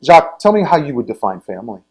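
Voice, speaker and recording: Halting American man hosting a sports interview. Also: mid-range voice, big pitch swings. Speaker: sports interview show host.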